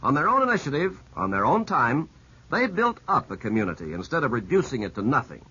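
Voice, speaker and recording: Forceful, animated male radio announcer. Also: mid-range voice, a little fast, breathy edge. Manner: earnest, declamatory. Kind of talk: 1950s scripted radio narration. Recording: dull 1950s radio recording.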